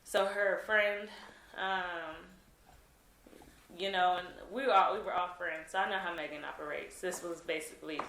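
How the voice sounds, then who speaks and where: A woman's voice: fairly low pitch, steady tone, moderate pace, a bit bright. Earnest hesitant young woman, roomy sound. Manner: earnest, hesitant with many fillers. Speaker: interview guest. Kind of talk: candid interview testimony. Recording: roomy with faint noise.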